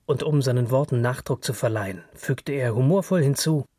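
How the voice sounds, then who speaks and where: Husky male literary narrator. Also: medium pitch, fairly quick, dark tone, no room sound, studio quality.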